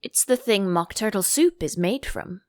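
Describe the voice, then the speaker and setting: A woman's voice: medium pitch, lively intonation, normal speed, no echo, clean studio sound, close to an everyday voice. A woman voicing an imperious, quarrelsome storybook Queen with a commanding, curt, emphatic delivery. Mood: brisk, commanding. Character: imperious, quarrelsome Queen who shouts threats of beheading.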